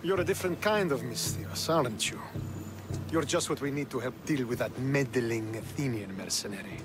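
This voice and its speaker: An actor playing a stern, suspicious Spartan military commander in a video game, with a commanding, distrustful manner. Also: male voice, mid-range voice, moderate pace, over music, natural voice. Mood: commanding, grudging. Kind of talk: dramatic video game acting.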